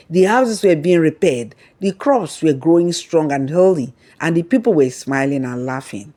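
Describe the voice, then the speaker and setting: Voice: medium pitch, darkish tone. A storyteller reading a scripted folktale narration.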